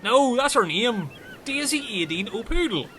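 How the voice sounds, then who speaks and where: A man's voice: very high voice, sing-song, measured pace, sharp tone, a little hiss or hum, exaggerated voice. A man playing a dim, chatty, earnest Irish castaway in a comedy radio play, rambling and taking things literally. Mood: chatty, matter-of-fact.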